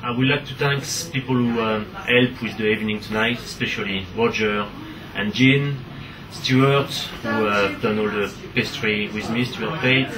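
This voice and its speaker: A man, a chef, gives an informal thank-you speech, searching haltingly for his words. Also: lowish voice, steady tone. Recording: far from mic, loud crowd.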